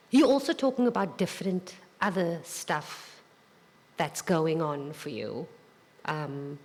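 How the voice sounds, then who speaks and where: Slow, measured woman moderator. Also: medium pitch. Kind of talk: panel discussion. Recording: live hall recording with reverb.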